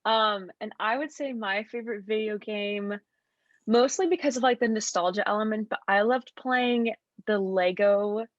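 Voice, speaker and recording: A woman's voice: medium pitch, normal speed, no echo, highs cut off. A young adult woman co-hosting a casual podcast over a video call, bubbly and full of "like". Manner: bubbly, lots of "like". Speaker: podcast co-host. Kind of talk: casual chat.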